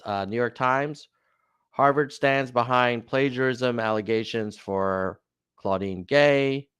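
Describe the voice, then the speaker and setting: A man's voice: on the low side, a bit bright, smooth, no room sound, clean studio sound. A man hosting a casual news commentary show, talking in a chatty way with offhand asides.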